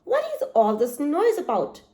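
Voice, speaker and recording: A woman voicing a small quiet mouse with a squeaky voice. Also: very animated, moderate pace, echoey room. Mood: helpful.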